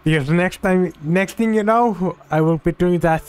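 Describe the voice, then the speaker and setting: A man's voice: high-pitched, moderate pace, clear, resonant tone. A drunk man with a Finnish accent, a streamer giving crude, joking reaction commentary. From Finland. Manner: crude, swearing, joking, laughing.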